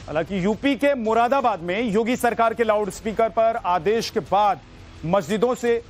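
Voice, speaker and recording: A man's voice: sits high, normal speed. A man narrating news reports as an anchor in a dramatic, emphatic voice-over style. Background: music bed.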